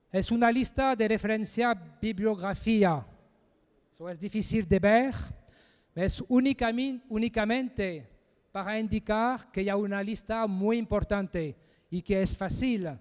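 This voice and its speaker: Conference speaker, male, high and smooth voice, slow and halting as he works through a second language, echoey lecture hall. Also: darkish tone. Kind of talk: technical presentation.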